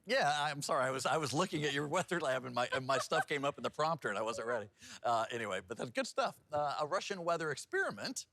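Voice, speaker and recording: Male news anchor with animated, swooping delivery. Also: fairly high pitch, fast talker, dry recording. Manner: joking, loose and informal. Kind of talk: TV news reading with casual banter. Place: TV news studio.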